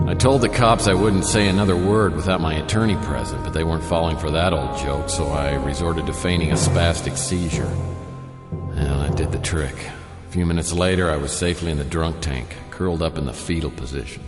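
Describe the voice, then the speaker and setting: An actor playing a wisecracking, world-weary private detective in a noir radio drama, with a wry, deadpan delivery. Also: male voice, low-pitched. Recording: roomy reverb, music underneath.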